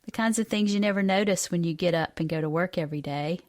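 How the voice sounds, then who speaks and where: A woman's voice: fairly low pitch, quick pace, a little mellow. A woman in her fifties from the United States reading a personal essay aloud as a scripted narration. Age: 50s. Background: music.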